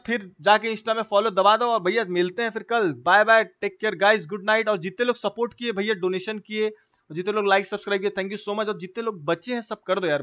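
A man's voice: high voice, sharp tone, muffled recording. A young man from India chatting casually as a gaming livestreamer, informal and slangy as he coaxes his viewers.

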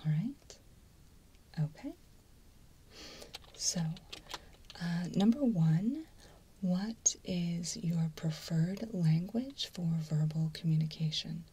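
A woman playing a mild, businesslike intake assessor in an ASMR role-play, in a soft breathy whisper with a methodical, slightly hesitant manner. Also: fairly low pitch, expressive, slow pace, pauses often, slight background noise. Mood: calm, businesslike. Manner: methodical, hesitant, matter-of-fact. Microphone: close microphone.

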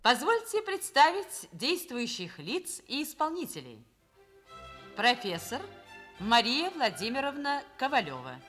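A woman's voice: high voice, big pitch swings, unhurried. A woman announcer reading a formal scripted introduction to a radio play. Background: violin music.

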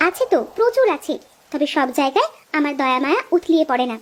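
Woman doing a scolding village wife, cartoon, really high voice, talks fast, blunt, slight noise behind. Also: very animated. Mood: brisk, blunt. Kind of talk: cartoon dialogue acting.

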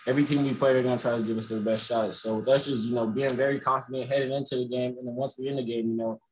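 A young man, a college basketball player, answering reporters on a video call after a game, relaxed and full of "you know" fillers. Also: mid-range voice, fast talker, a little hiss or hum, rough recording. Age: young adult. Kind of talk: postgame press conference answers. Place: indoors in front of a logo backdrop.

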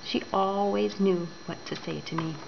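A woman's voice: mid-range voice, steady tone, measured pace, gravelly. A woman voicing a young storybook girl, childlike and emphatic, devoted to her pet. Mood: sad. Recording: dull home recording with noise.

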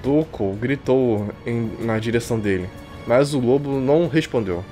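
A man with a Brazilian accent reading a visual novel aloud like a streamer, expressive and colloquial. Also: medium pitch, moderate pace. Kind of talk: dramatic live reading with casual asides. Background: game music.

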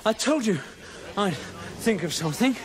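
An actor playing a breezy, excitable space hitchhiker with an eager, brisk manner, in a comic science-fiction radio drama. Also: male voice, sits high, crowd noise. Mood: breezy, puzzled.

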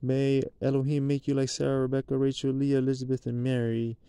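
A man's voice: medium pitch, completely flat delivery, fairly quick, smooth, no room sound. A man leading Sabbath prayers and blessings outdoors at night, recorded on a handheld camera close by. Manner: prayerful, rising into loud chanted blessings. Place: outdoors at night at a picnic table.

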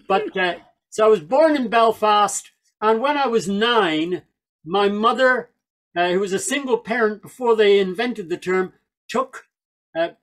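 An older man with a high voice tells stories in a wry, chatty way, throwing in asides. He talks over a video call into a headset mic from a small room at home. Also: expressive, a little slow, a bit bright. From Northern Ireland, raised in England. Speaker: folk singer and speaker. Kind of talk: storytelling talk on a video call.

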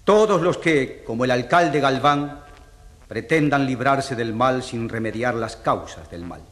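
An actor playing a stern, righteous male jury foreman in a radio drama, declaiming deliberately in a reverberant hall. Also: medium pitch, expressive, fairly bright tone. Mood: grave, accusing. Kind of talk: radio drama courtroom speech.

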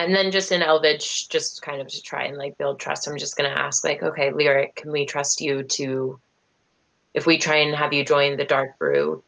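A woman's voice: very deep voice, creaky, a bit bright, poor audio. A woman playing a tabletop game on a video call, thinking aloud and speaking hesitantly in character. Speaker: player.

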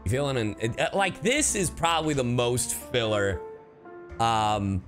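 A man's voice: mid-range voice, expressive, measured pace. A man giving casual livestream commentary on a game, playful, irreverent and sweary, with music behind him. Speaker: game streamer.

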